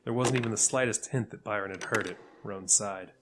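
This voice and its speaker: A man's voice: lowish voice, fairly quick. A man narrating a scripted audio fiction story. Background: faint music.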